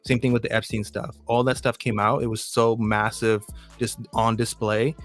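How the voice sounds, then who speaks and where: Earnest lower-voiced man, faint music. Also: little pitch movement, normal speed. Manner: earnest, emphatic, profane. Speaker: co-host. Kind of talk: casual live stream chat.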